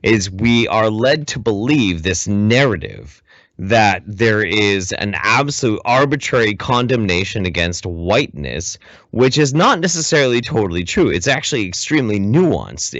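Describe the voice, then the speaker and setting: A man's voice: lowish voice, big pitch swings, normal speed, fairly bright tone, no echo, highs cut off. A man co-hosting a casual podcast, giving opinionated commentary in an emphatic, profane way, often repeating his phrases. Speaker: podcast co-host.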